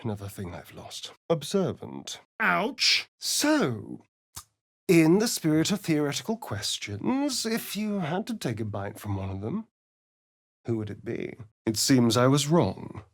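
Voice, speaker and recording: A vain vampire spawn for a video game, drawling slowly and purring his lines. His pitch swoops about lively from low murmurs to sudden high mocking lifts, and everything comes out arch and sly. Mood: sardonic. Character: vain, sardonic male vampire spawn, ageless young. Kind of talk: game voice acting.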